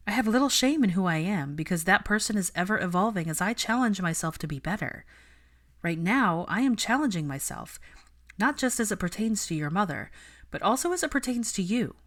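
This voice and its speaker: An assertive, articulate witch from a fan-fiction audiobook, acted out by a woman reader. She speaks in measured, formal sentences with a pointed edge, standing her ground. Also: medium pitch, lively intonation, fairly bright tone, voice pushed up. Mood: earnest, firm. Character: composed, assertive adult witch, defiant and articulate.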